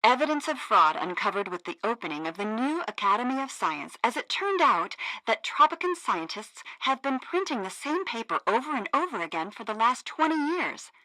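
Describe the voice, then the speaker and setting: Sassy female radio news co-host, peppy and bright, close dry studio sound, satirical game broadcast. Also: a little high, normal speed, few pauses, dry recording, rough recording. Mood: wry, reporting. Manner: animated, exaggerated newsreader delivery.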